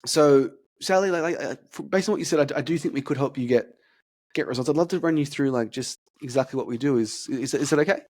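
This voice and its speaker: A man's voice: mid-range voice, darkish tone. Host type: man, sales coach, stammers through repeats, ordinary mid-range voice. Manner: talks through deliberate stutters and repeated words. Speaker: fitness business consultant. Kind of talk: casual podcast chat, role-playing sales calls.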